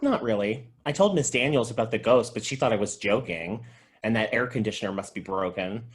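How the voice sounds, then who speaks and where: A man's voice: lowish voice, expressive, brisk, lightly acted. Goofy, chatty male video store clerk, acted. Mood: matter-of-fact. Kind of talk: table read of a comedy TV pilot.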